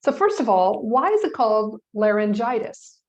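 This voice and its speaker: A woman's voice: a little high, big pitch swings, normal speed, dull audio. Engaged woman interview host. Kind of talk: interview questions.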